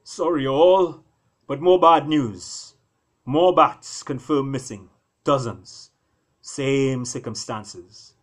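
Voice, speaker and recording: A grave storybook dog, as a male narrator plays him, delivers bad news like an official report. The sentences are slow, clipped and emphatic, at a middling male pitch. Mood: grave, urgent.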